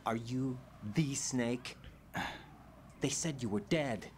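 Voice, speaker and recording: A man's voice: medium pitch, slow, a little hiss or hum, close to an everyday voice. An actor playing a young male soldier who asks tense, challenging questions.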